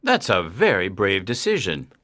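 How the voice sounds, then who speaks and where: A woman voicing a male alien who poses as a schoolteacher, speaking in a deep, measured, stern way. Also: huge pitch swings, fairly bright tone, heavily acted. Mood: calm, explaining.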